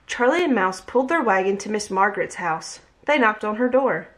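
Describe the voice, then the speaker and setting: A woman in her thirties reading a picture book aloud with gentle, expressive storytelling, at home on a webcam. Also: mid-range voice, brisk. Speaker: read-aloud host. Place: home room, indoors. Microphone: webcam at desk distance.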